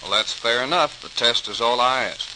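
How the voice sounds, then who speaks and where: An actor playing a confident, patient scientist, assured and precise, in an old radio docudrama. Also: male voice, medium pitch, moderate pace, natural voice. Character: confident, patient male scientist with his own theory. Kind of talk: 1940s-style radio docudrama acting. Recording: noisy, crackly old-time radio recording.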